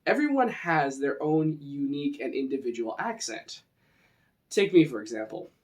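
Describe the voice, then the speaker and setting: A man's voice: mid-range voice, sing-song, normal speed, a little mellow. A man from the western United States with a Western American accent, presenting an informal, conversational lesson on tabletop roleplaying. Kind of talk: informal instructional talk.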